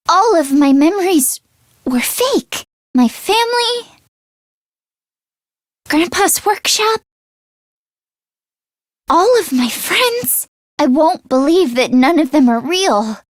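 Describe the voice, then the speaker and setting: A woman voicing an anxious, self-deprecating teenage girl mechanic in a video game, expressive and earnest. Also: extremely high pitch, slow pace, long pauses, no room sound, clean studio sound, full character voice. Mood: wistful. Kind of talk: video game character voice acting.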